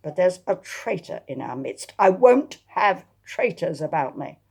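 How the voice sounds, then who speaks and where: A woman's voice: on the low side, sing-song, measured pace, gravelly, a little mellow, slightly rough, full character voice. A woman voicing an imperious, scornful elderly aristocratic matriarch who barks in a domineering, contemptuous way, in a dramatised historical novel. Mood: scornful, commanding.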